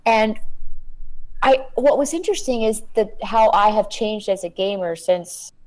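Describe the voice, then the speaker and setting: A woman in her thirties, a guest on a panel chat, talking earnestly with halting starts and repeated words. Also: mid-range voice, a little mellow, low-quality recording.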